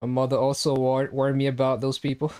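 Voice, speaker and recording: A man's voice: medium pitch, completely flat delivery, fairly quick, a little mellow. A young man streaming a game, chatting casually and teasing his chat with jokes. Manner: joking, teasing, reacting to chat.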